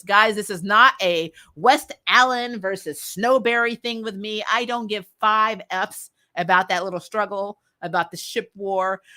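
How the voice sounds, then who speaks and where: Bright-voiced woman in casual opinionated chat. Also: mid-range voice. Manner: exasperated, blunt and animated, laughing as talk goes. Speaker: video host giving TV show commentary.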